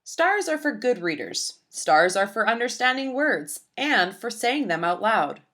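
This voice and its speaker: A woman voicing an earnest, shy young schoolgirl who narrates her own storybook tale with deliberate, childlike phrasing. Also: fairly high pitch, big pitch swings, fairly bright tone, heavily acted. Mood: wistful, earnest.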